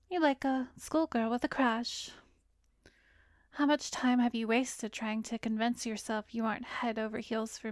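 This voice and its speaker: A woman playing an arrogant, seductive vampire therapist in a roleplay ASMR, teasing and hypnotic, slipping into breathy whispers. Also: on the high side, a little mellow, dry recording, studio quality. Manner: haughty, teasing, drawn-out delivery with breathy whispers. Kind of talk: roleplay ASMR, slow hypnotic close-up acting.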